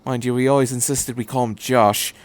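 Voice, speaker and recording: A man's voice: mid-range voice, steady tone, brisk, natural voice. A man voicing a cheerful, chatty, eccentric male time traveller who rambles breezily in a fan fiction reading.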